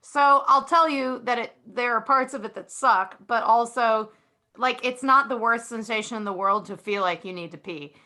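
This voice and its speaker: A woman's voice: on the high side, normal speed, pushed and bright. A woman chatting casually and candidly as a guest in a group podcast, speaking informally.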